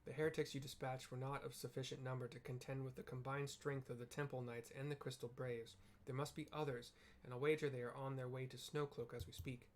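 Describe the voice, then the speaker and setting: Casting note: grave, formal noble knight commander, read plainly by a man, mid-pitched. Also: slight background noise, natural voice. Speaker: reading a game character's lines aloud.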